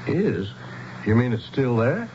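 Expressive, bewildered American man, muffled radio. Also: mid-range voice, slow. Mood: skeptical, puzzled. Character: bewildered, skeptical male outsider stranded in strange town. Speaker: actor and narrator. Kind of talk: 1970s radio drama acting and narration. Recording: muffled, noisy old radio broadcast.

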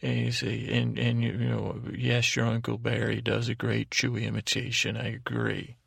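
Very gravelly, meandering male sleep podcaster. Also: mid-range voice, monotone, a bit bright, dry recording, clean studio sound. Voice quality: gravelly, creaky. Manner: meandering, halting, deliberately dull drone; whimsical, self-deprecating. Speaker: podcast host. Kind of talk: rambling bedtime monologue.

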